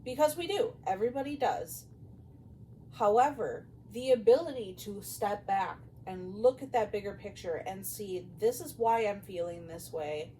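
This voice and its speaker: A woman's voice: mid-range voice, normal speed, rough recording. Woman podcast host, casual emphatic advice talk, bit bantery, some background noise. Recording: clear steady background noise.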